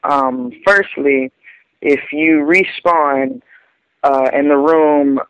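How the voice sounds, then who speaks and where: A man leaving a voicemail game tip as a caller, speaking haltingly. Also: fairly high pitch, clear, resonant tone, dry recording, lo-fi sound.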